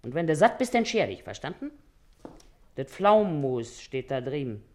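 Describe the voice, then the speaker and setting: Sharp-tongued washerwoman mother, swinging pitch. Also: big pitch swings. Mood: stern, scolding. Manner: bossy, scolding, quick-witted. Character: shrewd, hard-working, sharp-tongued washerwoman mother and thief. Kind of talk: theatrical dialect comedy acting.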